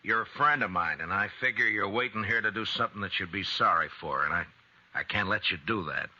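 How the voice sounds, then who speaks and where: An actor playing a steady, compassionate frontier marshal in a 1950s radio western, firm, measured and fatherly. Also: on the low side. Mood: firm, grave. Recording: muffled, hissy 1950s radio recording.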